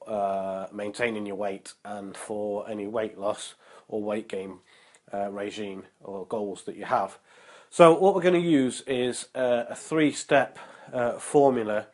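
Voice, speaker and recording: A young man vlogging about fitness, his voice a little nasal from a cold and his throat sore, upbeat and motivational, with many ums and uhs, slowing down to spell out figures. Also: lowish voice, steady tone, a little mellow. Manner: slow and careful when reading out numbers. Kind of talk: casual vlog talk and explainer.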